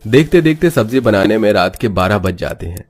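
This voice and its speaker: A man narrates a scripted moral story as its storyteller. His voice sits a little low, and music plays faintly behind him. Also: animated, a little fast.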